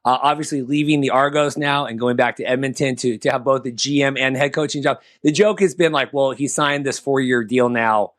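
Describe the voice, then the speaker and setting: A man's voice: medium pitch, steady tone, fairly quick, hardly any pauses, a bit bright, no room sound. Man hosting casual sports talk, dry sound. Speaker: sports podcast host.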